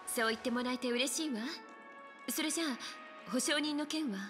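A woman acts a confident, elegant female diplomat from a video game. Her voice is fairly high and her phrasing polite and poised. Music is clearly audible behind her. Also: normal speed, lightly acted. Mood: poised, diplomatic. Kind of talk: Japanese video game voice acting.

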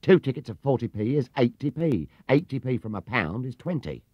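A man puts on an oily British storybook salesman who can flip from fawning to curt. His pitch swings widely, on a dry, slightly dull cassette recording. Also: very animated, fairly quick, a little mellow, no room sound. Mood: nasty. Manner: fawning, then curt and sneering. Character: oily coach-tour salesman who turns nasty. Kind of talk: storybook character reading.

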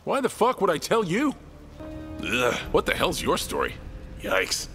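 A brash vigilante with wildly animated pitch. He is crude, flippant and sarcastic, with game music clearly behind. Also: a little slow, heavily acted. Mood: mocking. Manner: irreverent, flippant.

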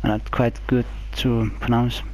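Slow, hesitant man commenting on a game. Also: mid-range voice, flat delivery, a little mellow, low-quality recording. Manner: hesitant, halting. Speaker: gameplay video host. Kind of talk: casual gameplay commentary.

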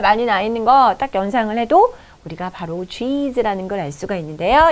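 A woman in her thirties teaching an English lesson in a studio, reading the English text slowly and clearly and then explaining it in Korean. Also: high-pitched. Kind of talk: video lesson explaining a news article. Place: studio in front of a projected screen.

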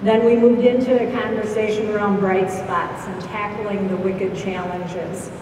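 Woman, keynote speaker, relaxed talk, long reverb, noisy hall. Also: mid-range voice, unhurried. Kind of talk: keynote address. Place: large hall with round tables. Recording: echoey hall, distant mic, background noise.

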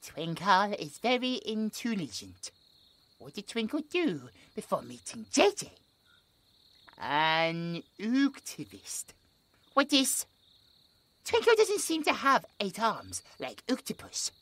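A British man voicing a gentle, childlike possessed male creature who talks about himself in the third person with broken grammar. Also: sits high, wildly swooping pitch, slow pace, exaggerated voice. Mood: cheerful, curious. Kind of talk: horror audio roleplay.

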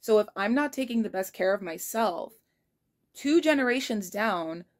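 A woman's voice: mid-range voice, expressive, normal speed. Earnest young woman vlogging from her kitchen. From United States. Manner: earnest and reflective, frank, spells out numbers carefully. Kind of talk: casual diary vlog. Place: kitchen indoors. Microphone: phone held low at arm's length.

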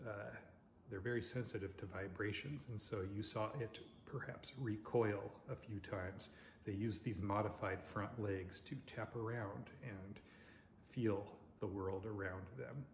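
A man in his forties from Oregon hosts an insect channel, chatting to the camera in a loud voice with lots of filler words. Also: on the low side. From Oregon, United States. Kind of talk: casual talk to camera. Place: dining room at home, indoors. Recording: very echoey room, faint noise.

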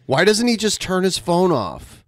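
A man's voice: sits high, big pitch swings, measured pace, dry recording, clean studio sound. A man making casual reaction commentary as a podcast co-host.

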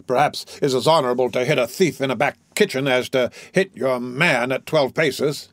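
A man reading as a portly old bachelor doctor, full of fuss and good humour. He speaks in raised, exclamatory bursts with a bright lift in pitch. The sound is dry and close. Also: moderate pace, dry recording. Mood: hearty. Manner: excitable, flustered, blustering.

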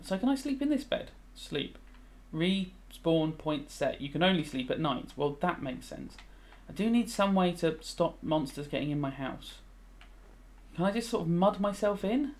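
A British man in his thirties with a fairly high voice talks casually as a teacher. He rambles and thinks aloud, moving between explaining a subject and musing over a video game. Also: lively intonation, moderate pace. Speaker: religious studies teacher. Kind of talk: casual revision commentary while playing a video game.

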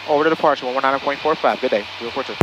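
A man's voice: mid-range voice, very fast, muffled recording, very noisy. A young American man talking casually and making pilot radio calls through an aviation headset in a small plane cockpit. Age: young adult. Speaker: private pilot and vlogger.

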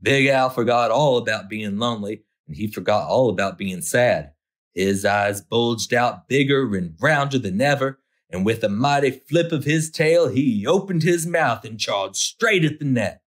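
A man's voice: mid-range voice, expressive, unhurried. A man narrating a children's picture book as a storytime host, expressively, drawing out key words for effect.

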